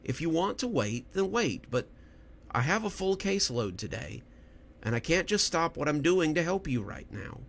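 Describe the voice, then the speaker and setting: A man acts a female doctor who will not bend. The voice is lifted a little, measured and reproving, with weary firmness suited to an audio horror story. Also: fairly high pitch, very animated, creaky, a bit bright, pitched above the performer's own voice, full character voice. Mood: firm, reproving.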